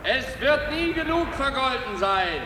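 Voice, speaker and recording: A mysterious spirit rider, really high, slow emphatic calls, echoey hall, old radio play. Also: male voice, very animated, pushed and bright, exaggerated voice. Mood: insistent, reassuring. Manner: calling out slowly, emphatic. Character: mysterious horseman, insistent and reassuring brother of a snake. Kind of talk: 1950s German radio drama acting. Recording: echoey hall with noisy ambience.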